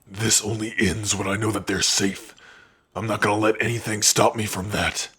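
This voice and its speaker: A man's voice: on the low side, little pitch movement, moderate pace, a bit bright, breathy edge. A man voicing a grim, protective orc warrior in an ASMR fantasy roleplay, grave, determined and terse. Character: grim, protective male orc warrior hunting for a lost love.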